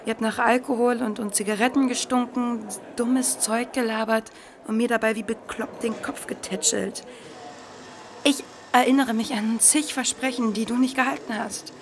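A woman's voice: on the high side, steady tone, normal speed, a little hiss or hum, natural voice. A woman playing a cool, wounded, accusing teenage daughter in a naturalistic radio play, speaking with hesitant defiance. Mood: hurt, accusing. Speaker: radio drama actress.

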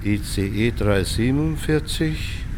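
Male actor dry, needling railwayman for radio drama. Medium-pitched man, slight vehicle ambience behind. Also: a little slow, background noise, natural voice. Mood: wry.

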